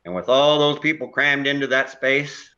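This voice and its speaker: A man's voice: medium pitch, fairly even intonation, normal speed, pushed and bright, dull audio, natural voice. A man playing a grumpy older widowed neighbor in a stage play, blunt and dryly complaining. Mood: cranky, ranting.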